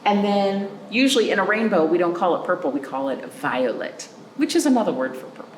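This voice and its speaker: A woman hosting a children's storytime as a librarian, reading aloud with chatty asides and simple, animated explanations with little check-in questions. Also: mid-range voice, a little fast, reverberant, a little hiss or hum. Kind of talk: picture-book read-aloud with chatty asides for young children.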